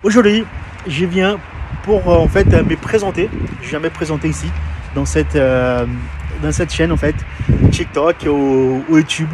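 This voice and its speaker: A man's voice: a little high, normal speed. Brazilian-accented man, hesitant, outdoor noise. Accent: Brazilian Portuguese. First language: Portuguese. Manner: hesitant, many fillers and restarts. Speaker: heavy-truck mechanic and garage owner. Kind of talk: casual vlog tour and personal story. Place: outdoors at a truck yard and garage. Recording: outdoor street noise behind.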